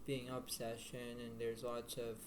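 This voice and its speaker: A man giving a casual tarot reading to the viewer in a halting, repetitive, rambling way. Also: monotone. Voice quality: low-volume voice. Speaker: tarot card reader. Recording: noisy homemade recording with room ambience.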